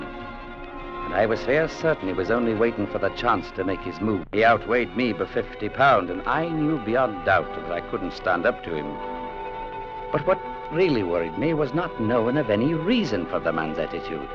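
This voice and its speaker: An actor playing a firm, plainspoken young clerk who also narrates, in 1940s radio drama style on an old recording. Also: male voice, on the low side, very animated, moderate pace, muffled recording, music in the background. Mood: narrating. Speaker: narrator and lead.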